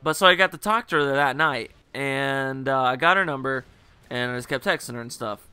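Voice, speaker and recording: A young man hosting a gaming video, telling a rambling, joking story over gameplay while hungover, his voice a little rougher and lower than usual. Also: normal speed, bright, forceful tone. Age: young adult. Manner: rambling, joking, full of fillers and asides.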